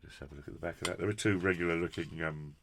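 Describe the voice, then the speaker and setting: Low, creaky-voiced British man reviewing gear. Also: very fast, a bit bright, slight background noise. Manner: chatty, jokey, effusive, with quaint turns of phrase. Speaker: product reviewer and presenter. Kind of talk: casual hands-on unboxing commentary.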